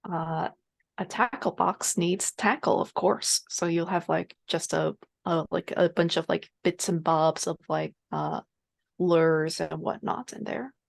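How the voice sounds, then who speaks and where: A woman with a lowish, gravelly voice plays a tabletop game online. She talks casually, and her audio is close and dry. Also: fairly even intonation, a little slow, dry recording. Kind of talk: casual chat. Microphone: online group call.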